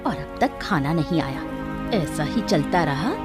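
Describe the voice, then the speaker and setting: A woman's voice: low voice, lively intonation, unhurried, over loud music, voice pushed down. A woman voicing a cruel, bossy young daughter-in-law with a scornful, scolding manner in an acted story. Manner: scolding, taunting. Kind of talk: Hindi audio story acting.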